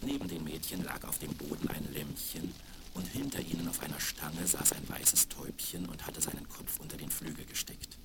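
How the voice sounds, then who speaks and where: A man's voice: extremely low pitch, steady tone, normal speed, gravelly. A man narrating a scripted fairy tale for children as storyteller, on a crackly 1970s vinyl record.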